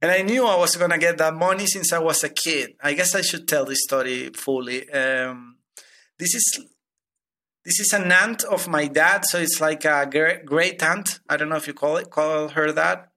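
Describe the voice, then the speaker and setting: A man's voice: a little high, normal speed, sharp tone. A man in his thirties from Venezuela, a guest telling his money story with many hesitant restarts. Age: 30s. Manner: hesitant, many repeated starts. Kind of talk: personal finance interview.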